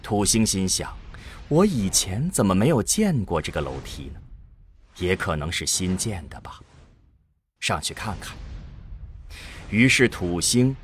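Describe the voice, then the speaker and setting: A male narrator tells a scripted drama story in a low voice. His pitch swings expressively while the pace stays slow, with music underneath. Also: big pitch swings, a little hiss or hum.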